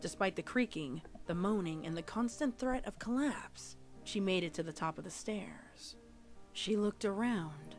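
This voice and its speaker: A woman's voice: on the low side, unhurried, soft background music. A woman reading a scripted horror story as narrator, in a dramatic storytelling style.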